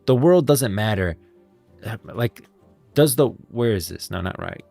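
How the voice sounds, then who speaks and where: A man hosting a casual gaming livestream, chatting informally, thinking aloud in a halting way and repeating phrases. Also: medium pitch, animated, gravelly, dark tone, soft background music. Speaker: streamer, host of a gaming lore stream. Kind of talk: casual livestream chat, reading posts aloud.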